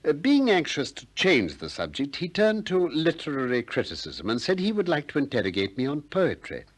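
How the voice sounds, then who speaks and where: An actor with a British accent playing a shrewd, politely persistent ancient Greek philosopher in a 1960s radio play, with measured, probing delivery. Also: male voice, on the high side, huge pitch swings. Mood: wry narrating. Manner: probing, courteous, measured questioning.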